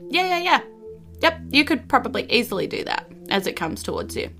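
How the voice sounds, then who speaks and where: Woman, New Zealand accent, game master, high bright voice, playful casual narration, encouraging. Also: big pitch swings, a little fast, faint music behind. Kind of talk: casual tabletop roleplay narration.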